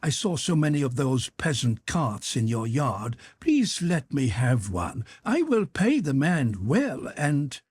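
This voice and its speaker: A man's voice: mid-range voice, sing-song, slow pace, hardly any pauses, a little mellow, slightly rough, dry recording, voice pushed up, exaggerated voice. A man reading an audiobook, voicing a fawning young officer with a wheedling, polite manner. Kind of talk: audiobook character voice.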